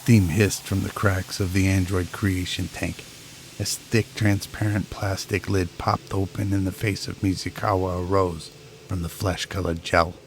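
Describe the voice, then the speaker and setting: A man's voice: low-pitched, flat delivery, a little slow, slight background noise. A man giving dramatic, scripted narration for an audio drama in a solemn storytelling manner.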